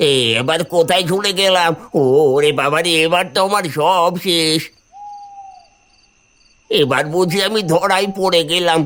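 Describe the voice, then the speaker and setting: A man's voice: sits high, measured pace, a bit bright, slight background noise. A man voicing a sneaky, cowardly livestock thief in a cartoon, repeating himself and stammering out exclamations. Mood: panicked, wailing. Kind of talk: cartoon voice acting.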